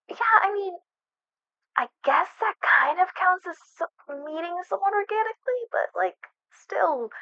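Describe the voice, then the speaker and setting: Woman playing flustered young best friend, higher-pitched voice, hesitant stammer, casual ASMR roleplay delivery, very dry slightly dull recording. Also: dry recording. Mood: exasperated, reluctant. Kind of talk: ASMR roleplay, one-sided conversational acting.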